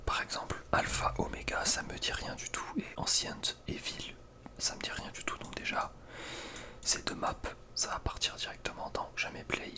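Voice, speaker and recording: A young man speaks in a soft breathy whisper, giving casual, slangy ASMR gaming commentary with a hesitant delivery. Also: moderate pace. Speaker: ASMR video creator.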